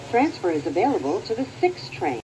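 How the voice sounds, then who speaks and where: An American woman reading scripted transit announcements in a measured, formal manner. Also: medium pitch, sing-song, warm tone, a little hiss or hum, low-quality recording.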